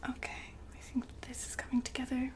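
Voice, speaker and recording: A woman's voice: high voice, completely flat delivery, a little fast, lightly acted. A woman playing a courteous, soothing saleswoman in a futuristic android shop, in a whispered ASMR roleplay with polite customer-service manners. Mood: calm, attentive.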